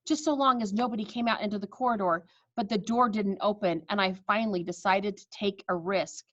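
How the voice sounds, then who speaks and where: A woman reading a children's story aloud with expressive narration, on a slightly dull recording. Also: medium pitch, a little fast, no echo.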